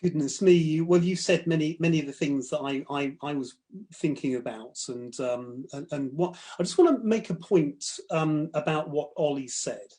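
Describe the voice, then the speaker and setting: A man in his fifties from London speaking personally on a panel, earnest and halting, often restarting his sentences. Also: fairly high pitch, warm tone. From born in London. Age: 50s.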